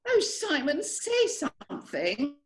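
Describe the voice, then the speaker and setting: A woman playing a passionate aristocratic widow in an amateur play reading, with theatrical, drawn-out delivery. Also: high-pitched, huge pitch swings, full character voice. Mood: anxious. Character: melodramatic aristocratic lady of the manor, passionate widow.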